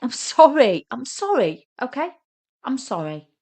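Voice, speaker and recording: A teasing younger sister, played by a woman narrator in a romantic comedy audio story. She is sarcastic and immature. She bickers in an excitable, mocking way. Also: sits high, unhurried, husky, voice pushed up, heavily acted. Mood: apologetic.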